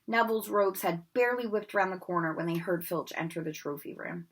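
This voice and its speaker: A woman reading a storybook aloud with expressive narration. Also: on the low side, fairly quick, husky. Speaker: reader.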